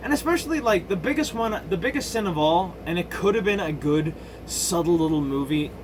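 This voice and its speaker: Higher-voiced man rambling over background noise. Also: animated. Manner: halting, self-interrupting, circling back to rephrase; blunt, crude slang and swearing. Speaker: video game movie commentator. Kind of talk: casual opinionated rambling commentary.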